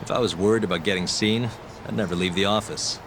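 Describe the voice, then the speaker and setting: An actor playing a cool, determined investigator in a crime game, talking in a casual, understated way. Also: male voice, deep voice, fairly even intonation, quick pace, over music. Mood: matter-of-fact. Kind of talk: video game cutscene acting.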